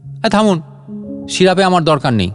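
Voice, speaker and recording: A man plays a boastful, short-tempered burglar from a comic story. He is stern and bossy, scolding and threatening in a raised voice that swings widely in pitch. Faint music plays underneath. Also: very animated, a little fast. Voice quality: stern voice, hacking cough. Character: boastful, irritable male burglar mistaken for a ghost.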